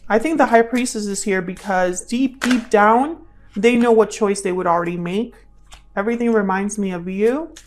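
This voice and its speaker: A woman's voice: medium pitch, normal speed, slight background noise. A woman hosting a tarot reading in a casual, intuitive style, thinking aloud and often repeating her questions and phrases. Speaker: tarot reader, channel host.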